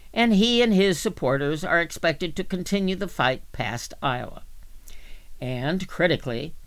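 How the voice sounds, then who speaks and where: A man with a somewhat higher-than-average voice reads magazine journalism aloud. His delivery is scripted narration, deliberate and measured, as for a reading service. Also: a bit bright.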